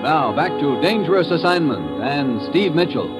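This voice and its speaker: A man's voice: fairly high pitch, big pitch swings, moderate pace. A man announcing a 1950s radio drama in a scripted style. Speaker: program announcer. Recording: muffled old recording under loud music.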